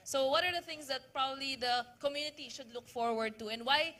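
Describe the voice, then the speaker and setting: A woman's voice: high-pitched, brisk, bright, forceful tone. A woman moderating a panel discussion in a conversational way, with hesitant uhs and restarts as she hands the floor to others.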